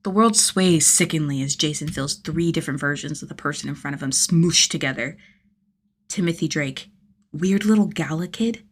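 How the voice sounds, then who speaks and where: Female narrator for fan fiction audiobook, low register, expressive storytelling. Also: moderate pace. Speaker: podfic narrator.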